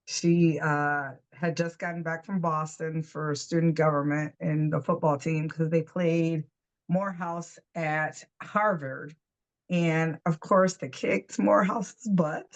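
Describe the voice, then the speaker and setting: A woman's voice: sits low, fairly even intonation, slow, muffled recording. A woman in her fifties, a volleyball coach, talking casually and unhurriedly, with many fillers. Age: 50s. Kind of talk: casual chat.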